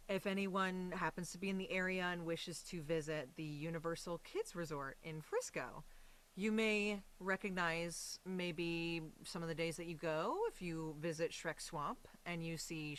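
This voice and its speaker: A woman's voice: on the low side, unhurried, fairly bright tone, poor audio. An American woman talking casually and animatedly as an interview guest. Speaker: guest, voice and stage actress.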